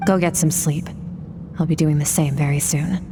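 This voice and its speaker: A woman playing a stern, aloof young sword master in an anime video game, measured and commanding as she instructs a pupil. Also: low voice, steady tone, noisy background. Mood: cool, detached.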